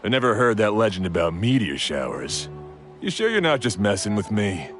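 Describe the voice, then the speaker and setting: Low voiced picky blacksmith from a game, proud of his craft, lively delivery, music behind. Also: normal speed. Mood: skeptical. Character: proud, perfectionist male blacksmith, earnest about craft. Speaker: blacksmith character. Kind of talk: scripted video game voice acting. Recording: background music over the voice.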